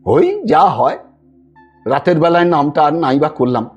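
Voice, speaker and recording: Brief: male storyteller as an older station master, husky, knowing and cautious, confiding tone, music underneath. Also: big pitch swings, unhurried, highs cut off, full character voice. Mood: hushed, knowing. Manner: lowered, confiding way of talking.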